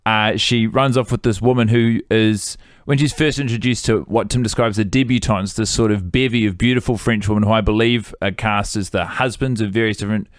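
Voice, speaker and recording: A man's voice: fairly low pitch, fairly even intonation, a little fast, hardly any pauses, dry recording, clean studio sound. A man, co-hosting a casual film-review podcast and rambling with fillers and restarts. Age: 30s. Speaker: podcast co-host.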